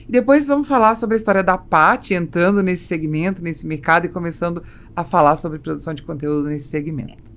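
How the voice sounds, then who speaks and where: A woman's voice: lowish voice, brisk. A Brazilian woman hosting a podcast interview, chatting casually and interjecting short reactions. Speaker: podcast host interviewing guests.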